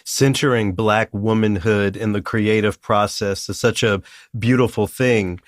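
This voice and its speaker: Deep-voiced male host, dry sound. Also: fairly even intonation, unhurried, no room sound. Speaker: podcast host. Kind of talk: interview questions.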